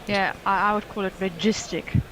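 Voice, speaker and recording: A woman's voice: medium pitch, fairly even intonation, normal speed, a bit bright, rough recording. Chatty woman cricket co-commentator. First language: English; Afrikaans is her second language. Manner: chatty, easygoing analysis. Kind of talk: live sports commentary chat.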